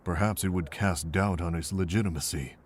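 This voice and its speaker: Man acting a deep-voiced, weary monk. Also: a little fast, slight background noise, natural voice. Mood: grave, earnest. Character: weary, kindly older monk recounting the past. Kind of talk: fan visual novel voice acting.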